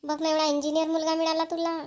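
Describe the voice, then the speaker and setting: A woman performs an excitable young cartoon woman. She chats in a familiar, exclamatory village style, like a close sister in a homely comedy. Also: very high-pitched, fast talker. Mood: chatty, affectionate. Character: chatty, excitable young Marathi women, two close sisters. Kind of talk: Marathi cartoon comedy dialogue.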